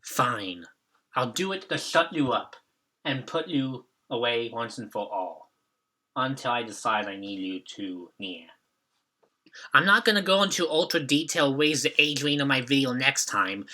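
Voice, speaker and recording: A man's voice: mid-range voice, pushed and bright. A young man hosting a scripted retrospective, giving commentary as a video reviewer.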